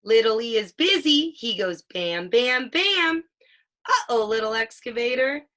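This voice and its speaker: Mom doing a picture book read aloud, highish bright voice, sing-song w/ stretched out sound words. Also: female voice, expressive, measured pace. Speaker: mother reading a picture book to child. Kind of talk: children's read-aloud with questions.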